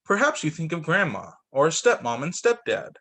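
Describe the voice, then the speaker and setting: A man reading picture books aloud to young students as a teacher, expressive, adding his own off-the-cuff remarks. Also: on the high side, normal speed.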